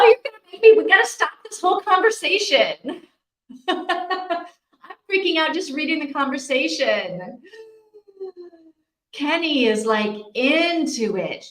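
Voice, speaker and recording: A woman's voice: a little high, a bit bright. Woman chatting in an echoey room. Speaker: host of a live reading-sprint stream. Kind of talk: casual chat, reading out and answering viewer comments. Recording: roomy, echoing sound.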